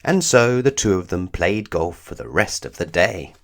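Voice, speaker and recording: Male children's book narrator: low register, lively swooping intonation, expressive scripted read-aloud with measured phrasing.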